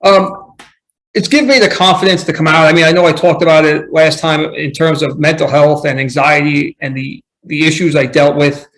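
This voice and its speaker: A man's voice: a little high, fairly even intonation, moderate pace, fairly bright tone, low-quality recording. A man guesting on a podcast, talking earnestly and reflectively in casual conversation, often restarting his sentences. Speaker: podcast guest, running coach.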